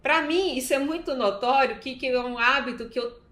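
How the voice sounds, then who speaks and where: A woman's voice: high voice, normal speed, a bit bright. A woman with a Brazilian accent giving an informal explanatory talk with personal examples in a conversational manner. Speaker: recovery talk speaker. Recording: echoey, roomy sound.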